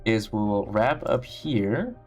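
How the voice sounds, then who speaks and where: A man chatting casually while streaming a game, rambling with restarts, his voice slightly hoarse. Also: on the low side, slow, soft background music. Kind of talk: casual gameplay stream chat. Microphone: gaming headset.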